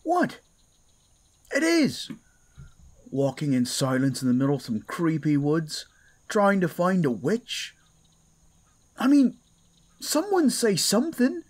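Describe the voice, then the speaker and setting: A woman's voice: fairly low pitch, big pitch swings, slow pace, pauses often, darkish tone, heavily acted. A woman playing a restless, blunt young fantasy adventurer in an audio roleplay, bantering and exclaiming.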